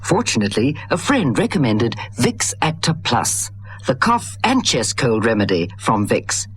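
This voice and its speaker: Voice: mid-range voice, very animated, a little slow, slightly rough. A speaker giving a scripted first-person testimonial in a radio advert.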